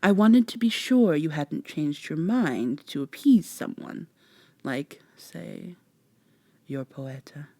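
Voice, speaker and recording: A woman voicing a haughty, teasing vampire in a formal, measured, condescending way for an ASMR roleplay. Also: deep voice, lively intonation, warm tone, no room sound, full character voice. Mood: pointed, probing. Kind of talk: soft-spoken ASMR roleplay acting.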